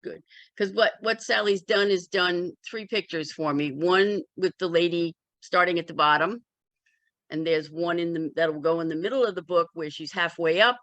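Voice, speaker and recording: Woman, bright forceful voice, dry sound, candid and wry, casual group chat. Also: fairly low pitch, moderate pace, no echo. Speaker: memoir author in a community discussion.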